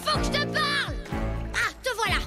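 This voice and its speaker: A performer voicing an earnest, emotional young prehistoric boy in an animated children's cartoon, pleading and scolding expressively. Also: very high-pitched, normal speed. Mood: angry scolding. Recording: music bed under the dialogue.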